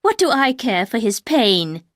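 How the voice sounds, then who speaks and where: A woman voicing a bitter, grieving older housekeeper who speaks in a harsh, cold, slow and accusing way. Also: a little high, big pitch swings, no echo, clean studio sound.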